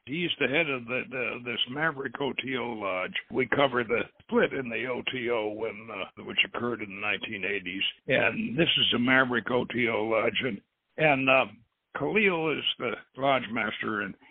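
A man with a gravelly, raspy voice hosting a radio show, reading aloud and adding halting, deliberate asides with dramatic flourishes. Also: medium pitch. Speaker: radio show host and author. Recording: tinny phone-like line with echo.

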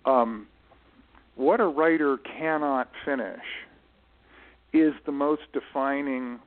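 A man giving thoughtful, explanatory interview answers in a halting way, often restarting and correcting himself as he goes. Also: mid-range voice, steady tone, narrow, tinny audio. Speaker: guest, writer managing his late father's literary estate. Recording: band-limited radio-style voice recording.

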